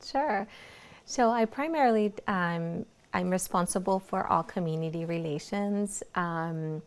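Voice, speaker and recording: A Mexican-born woman in her thirties, whose first language is Spanish, speaks with a Mexican accent and a somewhat low voice. She answers interview questions in a halting, searching way, filling gaps with soft agreement. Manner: halting, with frequent "mm-hmm" backchannels. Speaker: guest. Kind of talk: interview conversation.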